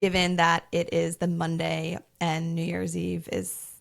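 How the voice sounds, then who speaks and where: A young woman co-hosts a podcast in a loose, conversational way, often reaching for "like" as she talks. Her voice sits on the low side with a gravelly vocal fry, and her delivery stays easygoing and unhurried. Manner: relaxed and chatty, leans on "like". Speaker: podcast co-host. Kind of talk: casual chat.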